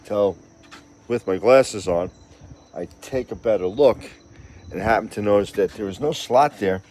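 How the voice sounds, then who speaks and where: Low-voiced man giving a hands-on tutorial. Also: measured pace, darkish tone. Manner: plain-spoken, chatty, explains step by step. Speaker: installer presenting a how-to video. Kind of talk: casual hands-on tutorial narration. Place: outdoors at a gate. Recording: outdoor noise with insects chirping.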